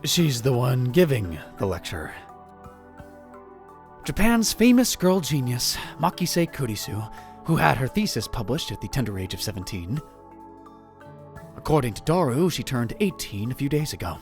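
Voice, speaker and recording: A man's voice: mid-range voice, sing-song, moderate pace, pauses often, faint music behind. A man playing an arrogant, theatrical male college student who narrates a visual novel fan dub in a pompous way. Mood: wry narrating. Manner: pompous, dramatic delivery with exclamations.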